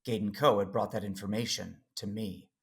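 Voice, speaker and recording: Guy as earnest jedi dad telling his story, lowish voice, serious, deliberate, space opera audio drama. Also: steady tone, gravelly, a bit bright. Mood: reflective, serious. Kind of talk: first-person audio drama narration and dialogue.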